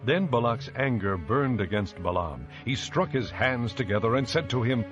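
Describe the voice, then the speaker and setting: A man's voice: mid-range voice, animated, normal speed. A man narrating scripture as a scripted dramatized audio book. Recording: dull lo-fi audio with music. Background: music.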